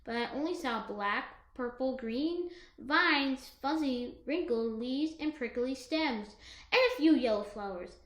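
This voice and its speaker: A woman co-reading a children's picture book aloud in an expressive, emphatic way. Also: sits high, unhurried, reverberant. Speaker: co-reader of a children's story.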